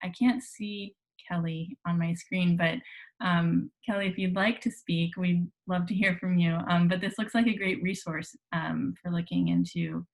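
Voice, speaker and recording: A woman's voice: on the low side, fairly even intonation, a little slow, creaky, poor audio. A woman moderating an online workshop panel, chatty with lots of fillers, speaking over a video call. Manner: chatty, many fillers and restarts. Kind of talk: casual panel discussion.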